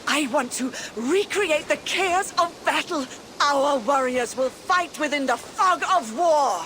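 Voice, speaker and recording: A confident Viking huntswoman in a video game, keen and a little teasing. Her voice is on the high side and moves freely up and down, with faint background noise. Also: lively intonation, slow pace, fairly bright tone, heavily acted. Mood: eager, teasing. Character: confident Viking huntswoman who runs warrior contests. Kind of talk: video game cutscene acting.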